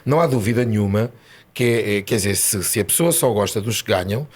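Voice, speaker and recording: A man from Setúbal with a European Portuguese accent, chatting as a podcast guest and making animated, emphatic arguments. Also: on the low side, fast, slightly rough. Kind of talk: casual chat.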